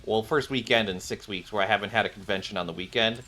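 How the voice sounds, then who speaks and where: A man with a lowish voice talks casually over a video game stream. He rambles and mutters to himself as he plays, with game music behind him. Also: quick pace, sharp tone. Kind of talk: casual live stream chat over gameplay.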